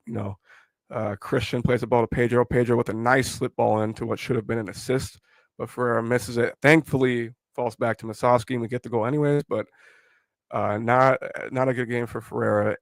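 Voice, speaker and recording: A hoarse young man who has lost his voice while sick, rambling opinions on a casual live stream. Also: medium pitch, no room sound. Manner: rambling, opinionated, filler-heavy. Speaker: fan channel host.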